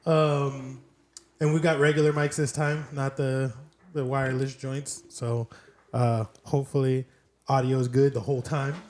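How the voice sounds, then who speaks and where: A man's voice: medium pitch, level tone, a little slow, a little mellow, reverberant, a little hiss or hum. A man in his thirties hosting a podcast in a blunt, brash way, swearing freely. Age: 30s. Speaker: podcast host. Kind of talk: casual, profane podcast chat.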